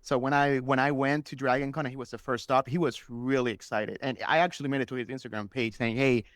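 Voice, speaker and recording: A man's voice: medium pitch, steady tone, fast, fairly bright tone, no echo, clean studio sound. A man whose first language is Spanish, chatting enthusiastically and casually as a guest telling stories on a podcast. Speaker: interview guest, superfan.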